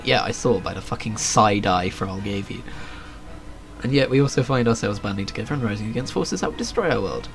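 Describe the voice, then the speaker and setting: A man's voice: lowish voice, vocal fry. A man doing casual, understated live game commentary and reading aloud, speaking into a streaming microphone. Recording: faint background noise. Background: faint game ambience.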